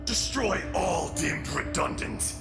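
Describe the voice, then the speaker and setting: A man's voice: high voice, animated, heavily acted. An actor playing an enraged, bitter ancient dragon in a video game, scornful and theatrical, drawing out his words. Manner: theatrical, scornful, drawn-out delivery. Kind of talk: dramatic video game acting. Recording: echoing voice under clear music.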